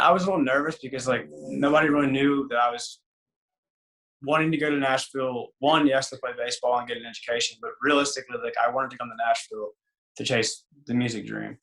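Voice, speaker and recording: Raspy young man, gravelly and rambling. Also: mid-range voice, a bit bright, rough recording. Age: 20s. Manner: rambling, loose, with many fillers and restarts. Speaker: interview guest, singer and former college baseball player. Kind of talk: casual interview chat.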